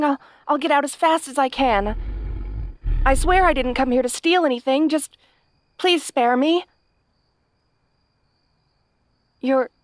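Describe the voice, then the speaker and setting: A woman's voice: high-pitched, no room sound, clean studio sound, close to an everyday voice. A woman playing a kind, hapless young princess in a fantasy audio roleplay, talking to the listener in a nervous, stammering, chatty way. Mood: frightened, pleading. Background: night sounds with crickets.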